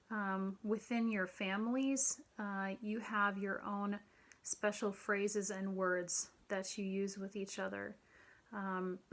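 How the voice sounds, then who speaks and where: A middle-aged woman lecturing at a slow, unhurried pace and a middle pitch. She leans on frequent ums and clears her throat now and then while explaining from a room at home. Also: fairly bright tone. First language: English. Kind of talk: recorded online lecture. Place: home room with children's drawings on the wall.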